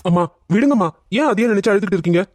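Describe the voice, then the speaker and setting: A man's voice: high-pitched, expressive, racing, very noisy. A man playing a grown son talking with his mother, acted in the manner of a drama serial. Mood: earnest, consoling.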